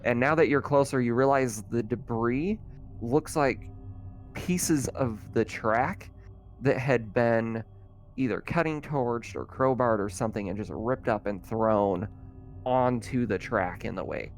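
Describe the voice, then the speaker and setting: A man's voice: mid-range voice, measured pace, a little hiss or hum. A man acting as game master, explaining the scene and rules in casual chat and laughing easily. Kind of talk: casual tabletop roleplaying narration and chat.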